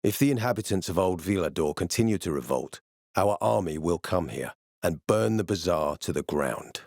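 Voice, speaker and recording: A male actor playing a stern, ambitious military commander in a video game, speaking in a measured, authoritative and formal way. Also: deep voice, expressive, creaky, darkish tone, no echo, clean studio sound, exaggerated voice. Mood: stern, threatening. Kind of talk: video game dialogue acting.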